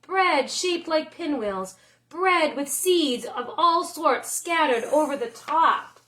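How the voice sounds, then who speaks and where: A woman teacher reading a picture book aloud in expressive narration, with deliberate, drawn-out phrases. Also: high-pitched.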